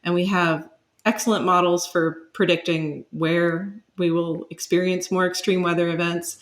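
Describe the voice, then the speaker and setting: Low-voiced academic woman being interviewed. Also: a bit bright. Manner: measured, academic, qualifying her points. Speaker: podcast guest, city planning expert. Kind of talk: explanatory interview talk.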